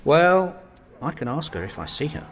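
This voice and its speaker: A long-suffering, apologetic manservant in a comic radio play, his pitch rising and dipping in a lively way. His obsequious lines ring with long hall reverb. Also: moderate pace, a little hiss or hum. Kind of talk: comic audio drama acting.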